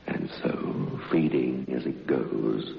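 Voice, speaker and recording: Gravelly, very deep scheming planter husband. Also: male voice, slow pace, heavily acted. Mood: slow, menacing. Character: scheming planter husband, falsely solicitous, coldly sinister. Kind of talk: old radio horror play acting. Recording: dull, noisy old radio recording.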